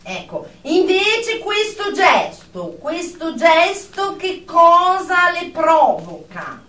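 A woman's voice: extremely high pitch, very animated, slow pace, sharp tone, exaggerated voice. A woman playing a formal, probing therapist in a radio drama, speaking in pompous clinical jargon. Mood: methodical, insistent. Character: officious, probing female therapist interviewing a patient. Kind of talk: Italian radio drama acting. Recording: roomy, distant mic, faint noise.

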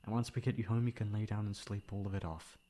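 A young man playing a caring, mildly exasperated boyfriend in an ASMR roleplay, coaxing and teasing with breathy sighs. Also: on the low side, fairly even intonation, fast talker, dark tone, lightly acted. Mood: concerned, coaxing.

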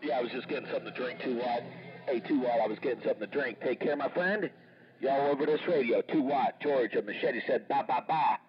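A man's voice: medium pitch, quick pace, background noise. A man chatting as a CB radio operator, repeating catchphrases and drawing out his call signs. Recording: muffled CB radio transmission with crowded chatter.

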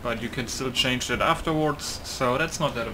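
A man's voice: medium pitch, moderate pace. Man, casual gameplay commentary, rambling, muttering, offhand swearing, noisy background with ambience. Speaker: gaming video creator. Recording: noisy home setup, loud computer.

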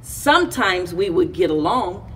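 A middle-aged woman with a slightly husky voice reads a children's picture book aloud expressively, phrasing deliberately with pauses between short lines. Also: on the low side, very animated, a little hiss or hum. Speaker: library story time reader. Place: indoors, in front of a library chalkboard wall. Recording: distant camera microphone.

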